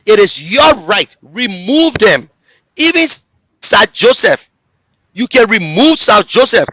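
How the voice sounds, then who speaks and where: A man with a Liberian English accent speaks in a high, ringing voice. He drives his political points home with repetition and direct questions to his listeners. The sound is close and dry, with no room echo. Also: sing-song, takes it slowly, fairly bright tone. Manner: impassioned, repetitive, rhetorical address to listeners. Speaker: talk show host. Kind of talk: political commentary.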